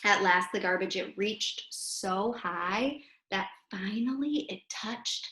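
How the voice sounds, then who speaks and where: An American woman reading poems aloud to children in an expressive way, speaking directly to her young listeners. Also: medium pitch, slow. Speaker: children's poem reader and teacher. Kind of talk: animated read-aloud for children. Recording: roomy, echoey sound.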